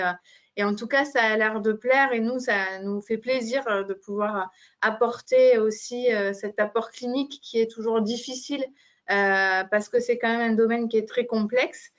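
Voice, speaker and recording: A woman hosting a webinar, speaking informally as she gives thanks and announcements. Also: fairly high pitch. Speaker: webinar host for a medical device company. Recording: muffled, band-limited webinar audio.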